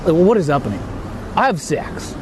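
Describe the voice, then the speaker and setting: A man's voice: a little high, big pitch swings, moderate pace, background noise. A man livestreaming a casual rant, incredulous and exclamatory, swearing often as he reacts to criticism. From Japan. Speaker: livestreamer and YouTuber.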